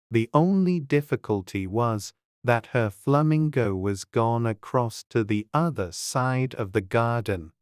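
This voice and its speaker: A man narrating a storybook from a script, measured and clearly enunciated. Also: medium pitch, dry recording, studio quality. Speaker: audiobook narrator.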